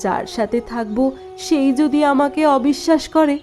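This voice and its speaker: A woman voices a sorrowful, proud young wife in a cartoon story. Her voice is fairly high, with a plaintive, earnest delivery. Faint music plays behind her. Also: normal speed, a little mellow, natural voice. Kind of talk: dubbed cartoon dialogue.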